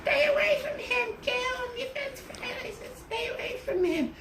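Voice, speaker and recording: A woman voicing an apologetic best friend who pleads in a high, defensive way, recorded from a distance. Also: expressive, moderate pace, noisy background. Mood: pleading. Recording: roomy, distant home recording.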